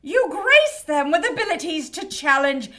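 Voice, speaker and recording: Imperious, high-pitched cherub. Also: female voice, very animated, normal speed, pushed and bright, heavily acted. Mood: accusing. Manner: imperious, mocking interrogator. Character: haughty, domineering female cherub leading an angelic inquisition. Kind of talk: audio drama acting.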